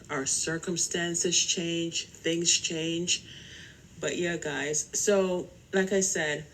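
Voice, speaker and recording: A woman with a slightly low voice hosts a casual solo podcast. She talks at an unhurried, slow pace. Her manner is chatty and rambling, addressing listeners directly. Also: a little hiss or hum, rough recording. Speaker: podcast host.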